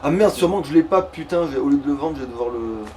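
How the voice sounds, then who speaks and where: A man's voice: a little high, lively intonation, rapid-fire, warm tone. A man chats through a game. He talks in a loose, slangy way with casual swearing. The mic is some distance off, with faint noise around him. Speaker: let's player. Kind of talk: casual gaming chat.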